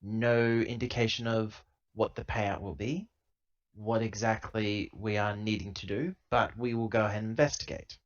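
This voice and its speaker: A man playing a tabletop role-playing game, improvising dialogue and narration in a halting, self-correcting, emphatic way on a lo-fi recording. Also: on the low side, a bit bright. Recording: dull lo-fi laptop recording.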